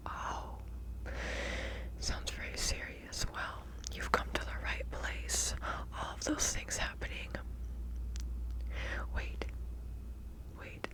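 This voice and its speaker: A woman whispering an ASMR roleplay as a kindly witch who reads tarot, softly and unhurriedly, repeating words and using terms of endearment. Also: rough recording. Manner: soft, unhurried, repeats words, affectionate address.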